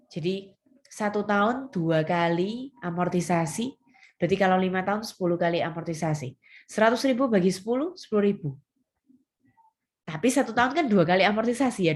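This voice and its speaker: A woman teaches a class step by step. She is instructive and leans on key terms. She often poses a question and then answers it herself. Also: lowish voice. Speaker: accounting lecturer. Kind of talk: step-by-step lecture to students.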